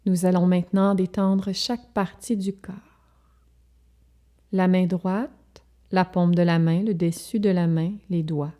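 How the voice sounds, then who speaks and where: Woman, yoga relaxation guide, slightly low pitch, very unhurried pace, calm, soothing, measured pauses. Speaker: yoga nidra instructor.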